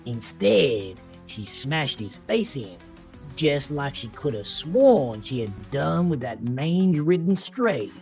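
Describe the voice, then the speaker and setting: A narrator delivers a scripted horror tale with suspense. The reading is slow and dramatic, with lively pitch movement over a clear music bed.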